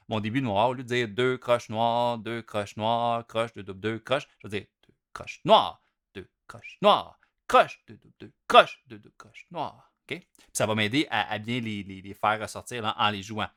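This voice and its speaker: A young man with a Québécois French accent teaches drumming in an easygoing, conversational way, his voice sitting at an ordinary middle pitch. He chants rhythm names aloud, pushing the accented notes hard and dropping the others down soft. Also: moderate pace. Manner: chatty and relaxed, chants note rhythms aloud with strong loud-soft contrasts. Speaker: drum teacher. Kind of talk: casual instructional explanation.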